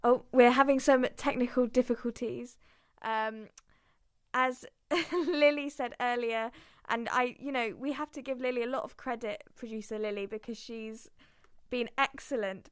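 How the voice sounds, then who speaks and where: A woman's voice: a little high, clean tone, no echo, studio quality. A young woman hosting a student radio show, talking casually and laughing through technical problems.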